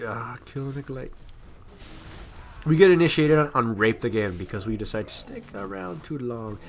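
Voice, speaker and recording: A man's voice: medium pitch, expressive, moderate pace, vocal fry. A man giving casual, amateur video game commentary in a rambling, self-deprecating way.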